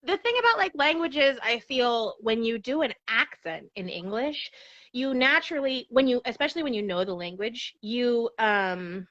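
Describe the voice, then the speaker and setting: A woman's voice: a little high, lively intonation, normal speed, fairly bright tone. Chatty woman on a muffled call. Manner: chatty, laughs often, lots of "like". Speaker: guest. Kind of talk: casual chat. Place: her apartment.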